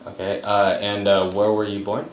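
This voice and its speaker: A male interviewer with a low-pitched voice puts simple questions haltingly, often pausing on fillers. The room is clearly echoey, with a little background noise. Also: completely flat delivery. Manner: halting, hesitant, heavy on um and uh. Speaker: oral history interviewer.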